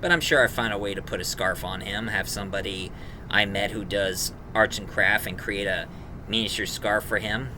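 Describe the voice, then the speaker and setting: A man giving a casual, rambling review in a halting, enthusiastic fan manner. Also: on the low side, fairly even intonation, noisy background. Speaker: reviewer, host.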